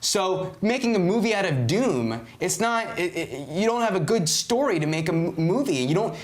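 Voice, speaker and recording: A man's voice: high-pitched, very animated, moderate pace. A man co-hosting a podcast, arguing emphatically, often stumbling and restarting, and swearing freely. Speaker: co-host. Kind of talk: casual debate on a podcast.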